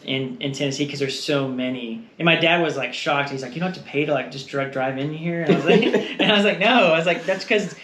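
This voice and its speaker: A man's voice: a little high, fast, hardly any pauses, fairly bright tone. Rambling man in an echoey room. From Texas. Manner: rambling, laughs often, trails off with fillers. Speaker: guest. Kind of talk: casual conversational interview. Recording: echoey room with faint noise.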